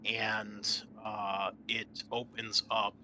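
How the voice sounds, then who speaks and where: A man narrating an improvised tabletop game as game master, halting often mid-sentence. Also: fairly low pitch, little pitch movement, sharp tone, a little hiss or hum, low-quality recording.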